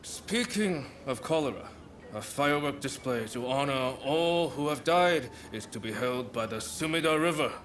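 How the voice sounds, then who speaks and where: Faint ambience is heard around an actor playing a male rebel informant. He reports in a matter-of-fact, mid-pitched voice, as in a video game cutscene. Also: animated, moderate pace, a bit bright, slight background noise, close to an everyday voice. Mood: informative.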